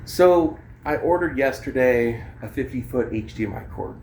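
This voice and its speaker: A man's voice: mid-range voice, moderate pace, darkish tone. Casual rambling man, noisy home recording. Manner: informal, swearing, slangy, talking as they demonstrate gear. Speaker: vlogger and amateur songwriter. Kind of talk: casual rambling chat to camera.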